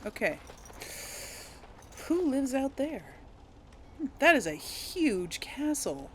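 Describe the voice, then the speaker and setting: A woman's voice: high voice, very animated, slow, fairly bright tone, a little hiss or hum. A woman hosting a casual video game let's play, thinking aloud as she explores, with faint game sound behind her.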